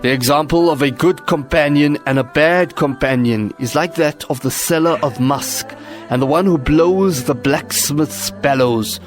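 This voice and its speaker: A man's voice: on the high side, a little slow, over music. A man giving solemn, scripted religious narration as a narrator.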